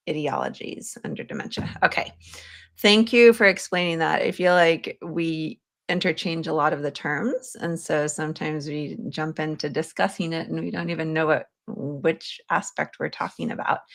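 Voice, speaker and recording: Slow, creaky-voiced woman hosting. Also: sits low. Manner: informal, thinking aloud while rephrasing. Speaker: podcast host. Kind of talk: conversational interviewing.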